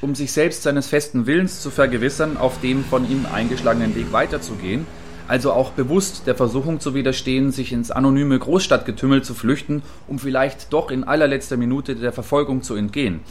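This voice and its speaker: Faint ambient noise sits behind a man playing an earnest male radio reporter in a radio drama. His middle-pitched voice gives deliberate, long-winded live reports in a factual manner. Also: close to an everyday voice. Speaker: field reporter. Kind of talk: satirical radio play as live news report.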